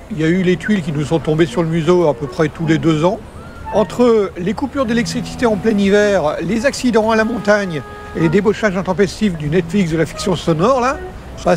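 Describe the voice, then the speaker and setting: A man playing a calm, slightly pompous colleague explaining things in an amateur audio drama. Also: high voice, a little fast, background noise, close to an everyday voice. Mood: wry. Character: wry, composed male colleague who opens the office.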